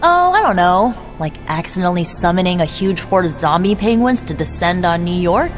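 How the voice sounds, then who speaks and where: An actress playing a flippant, bossy young sorceress in a video game cutscene, talking in a slangy, animated way. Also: female voice, fairly low pitch, normal speed. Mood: brisk, sarcastic. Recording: music behind voice.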